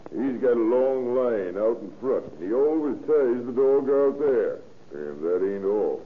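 A man's voice: medium pitch, expressive, a little slow, lightly acted. An actor playing a plain-spoken, wary rural man with folksy speech in an old radio drama. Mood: concerned. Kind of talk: 1940s radio acting. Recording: tinny old radio, faint noise.

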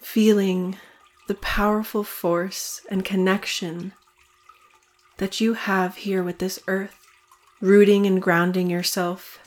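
A woman leading a guided meditation, speaking in a soothing manner with long pauses between phrases. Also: mid-range voice, little pitch movement. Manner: slow, soothing, evenly paced with long pauses.

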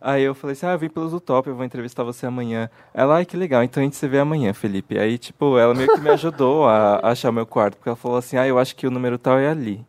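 A man's voice: mid-range voice, racing, few pauses. A man in casual podcast chat, animatedly telling a story and acting out the quoted lines. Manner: animated anecdote teller, acts out quoted lines.

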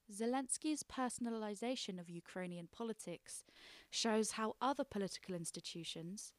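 A woman's voice: medium pitch, no room sound. Woman reading her essay, dry close sound. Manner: measured, explanatory reading. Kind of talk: scripted narration.

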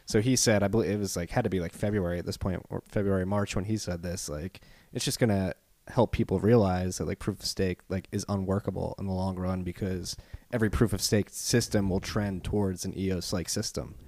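A man's voice: sits low, steady tone, a little fast, hardly any pauses, darkish tone. A man with a Philadelphia accent co-hosting a podcast, opinionated and blunt in casual chat. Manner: opinionated, rant-prone, blunt. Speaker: podcast co-host.

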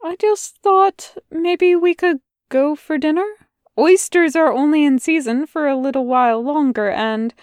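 A fussy, excitable male angel, as a woman reader puts him on, chattering eagerly about old books in a voice pitched well above her own. He trips over himself when flustered. The sound is close and dry, with no room around it. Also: unhurried, dry recording.

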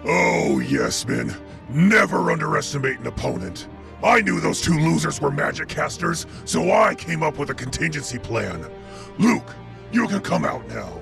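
A man's voice: on the high side, big pitch swings, normal speed, vocal fry, music in the background, exaggerated voice. A man voicing a mocking, scheming villain boss in a comedic cartoon, with a taunting, theatrical manner. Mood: smug, threatening.

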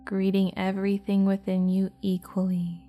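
A woman guiding a meditation in a soft, slow, half-whispered voice. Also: mid-range voice, completely flat delivery. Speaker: meditation guide. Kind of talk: guided meditation.

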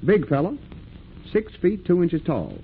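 A tinny old radio play recording with faint hiss. an arrogant, wealthy mill owner, clipped and demanding, his pitch swinging widely. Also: wildly swooping pitch, unhurried, full character voice. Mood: brusque. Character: haughty, irascible wealthy mill owner and slaveholder. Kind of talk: 1940s radio acting.

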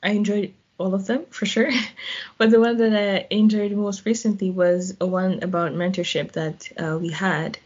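Husky woman, chatty guest. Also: mid-range voice, little pitch movement, normal speed, darkish tone, highs cut off. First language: French. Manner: chatty, laughs often, uses "like". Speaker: guest, volunteer. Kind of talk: casual interview answers.

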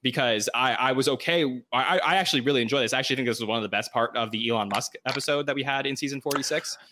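A man hosting a casual roundtable podcast, talking quickly in long run-on sentences. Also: mid-range voice, background noise. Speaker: podcast host.

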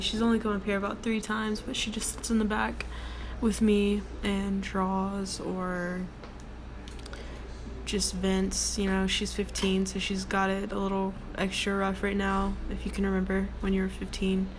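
A young woman with a deep voice hosting her own casual solo podcast from her workplace, rambling with many ums and likes in a relaxed way. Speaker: podcast host. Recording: noticeable background noise.

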